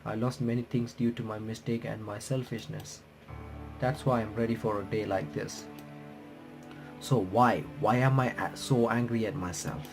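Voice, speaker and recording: A man's voice: lowish voice, fairly even intonation. Man reading game text aloud over music. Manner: halting reading with stumbles and self-corrections. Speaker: gaming reaction streamer. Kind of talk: reading visual novel text aloud with commentary. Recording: music playing behind the voice.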